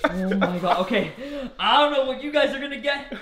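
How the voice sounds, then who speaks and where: A man in his twenties hosting a staged challenge vlog, chatting excitedly in a casual, exclamatory way. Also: extremely high pitch, a little fast, echoey room, a loud crowd. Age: 20s. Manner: animated, exclamatory. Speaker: video host. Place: dark backyard and inside a house. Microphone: handheld camera. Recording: noisy handheld camera audio.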